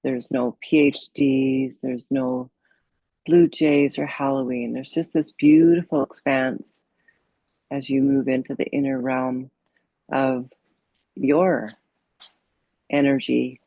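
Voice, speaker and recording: A woman meditation coach leading a guided meditation in a soothing, unhurried, gently instructive manner with long pauses. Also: very deep voice, vocal fry, dry recording, highs cut off.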